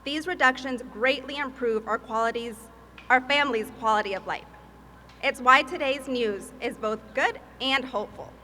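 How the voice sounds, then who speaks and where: A woman from Peru, a mother and advocate, giving a prepared speech at a public event in an earnest, deliberate way. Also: high voice, pushed and bright, a little hiss or hum. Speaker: advocacy field manager and mother.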